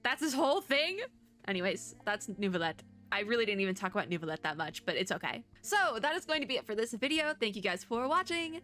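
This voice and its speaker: A woman's voice: medium pitch, sing-song, fast, bright, forceful tone, faint music behind. A woman rambling casually as a YouTuber giving reaction commentary, chatty and often interrupting herself mid-thought. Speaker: YouTuber host.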